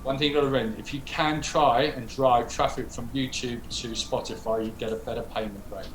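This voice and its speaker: A man speaking as a podcast guest, talking through ideas in a relaxed, explanatory way and often correcting himself. His voice sits at an ordinary pitch. It carries a roomy echo over a faint background hiss. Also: a little fast. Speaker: podcast guest, music PR manager. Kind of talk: casual interview talk.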